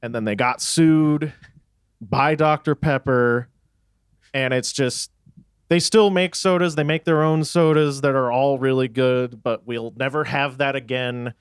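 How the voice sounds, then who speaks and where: A man's voice: medium pitch, a little slow, smooth, dry recording, clean studio sound. A young man from Texas chatting casually in a band interview, rambling and laughing easily. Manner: relaxed, rambling, laughs often. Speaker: band member.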